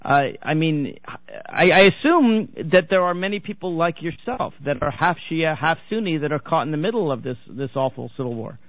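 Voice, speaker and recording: A man's voice: on the high side, lively intonation, normal speed, no room sound. A man hosting a radio interview show, talking in a conversational, wry way. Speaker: radio talk show host. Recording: dry, phone-like narrow sound.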